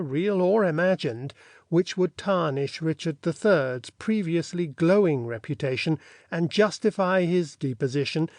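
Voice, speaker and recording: A man reading an audiobook in a measured, formal and theatrical way, as a scripted literary narration. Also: fairly high pitch, darkish tone, dry recording, clean studio sound.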